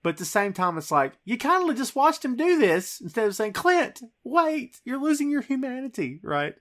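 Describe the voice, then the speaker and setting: A man's voice: very high voice, sing-song, moderate pace, fairly bright tone. A man hosting a podcast, talking through a film scene by scene in an emphatic, dramatic storytelling way. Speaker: podcast host.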